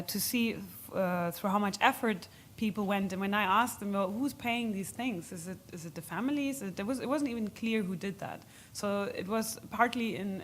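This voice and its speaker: A woman giving halting, explanatory answers as an expert speaker in an unscripted question session at a policy event. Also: mid-range voice, slight background noise.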